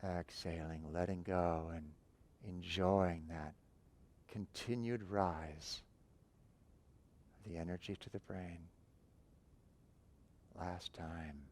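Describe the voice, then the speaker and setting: A man guiding a breathing meditation, giving calm, unhurried instructions with long pauses between phrases. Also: sits low. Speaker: meditation teacher.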